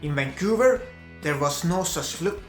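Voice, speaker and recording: A man with an Estonian accent, a native Estonian speaker, narrating his own vlog in earnest, slightly halting English. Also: a little high, lively intonation, reverberant, soft background music. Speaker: vlogger.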